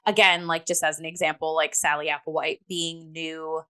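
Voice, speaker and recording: A woman's voice: low-pitched, flat delivery, moderate pace, pushed and bright, clear, resonant tone. A woman chatting casually as a talk host reviewing theatre, acting out little asides as she goes.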